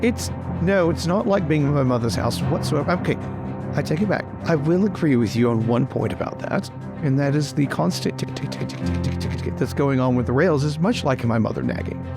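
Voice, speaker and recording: A man's voice: a little high, expressive, a little fast, over loud music. A man voicing a fussy, self-satisfied young lord with a dramatic, exasperated manner in a tabletop game. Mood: huffy.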